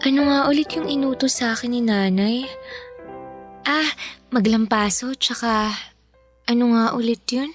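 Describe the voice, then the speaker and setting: A woman's voice: fairly high pitch, measured pace, pauses often, over music, close to an everyday voice. A woman playing a young mistreated house girl who pleads her innocence in a radio drama. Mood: confused. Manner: pleading, tearful.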